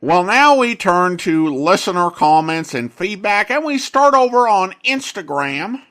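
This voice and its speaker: A man hosting a podcast, giving commentary in a deliberate, emphatic way with pauses between phrases. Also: a little high, a bit bright. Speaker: podcast host.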